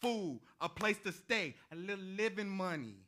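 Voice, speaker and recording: A man acts out a young American artist full of big dreams in a stage drama. His voice is high and very bright, forceful and sharp. He talks in emphatic slang, brash and persuasive. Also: animated, normal speed. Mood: boastful storytelling. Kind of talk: staged play reading.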